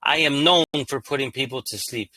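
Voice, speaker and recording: A man hosting his own channel, reading court rulings aloud in an even, monotone way and breaking off into casual, slangy commentary. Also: medium pitch, fairly quick, dry recording. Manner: reads legal text evenly, then breaks into loose slangy asides.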